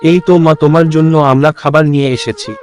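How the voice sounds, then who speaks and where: A man's voice: a little high, fairly even intonation, brisk, soft background music, lightly acted. A man voicing an earnest village husband and father in a cartoon. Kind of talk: cartoon voice acting.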